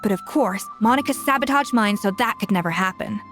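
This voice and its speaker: An actress playing a proud, brilliant chef who mentors bluntly and scolds with exasperation in a game cutscene. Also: female voice, medium pitch, fairly quick, lightly acted. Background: faint game music.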